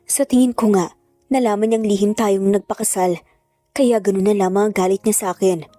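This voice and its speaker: Narrator as proud wronged mother, woman, mellow tone, bitter, emotional, story drama, dry sound. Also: medium pitch, steady tone, brisk, no echo, faint music behind, close to an everyday voice. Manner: tearful, bitter, defiant.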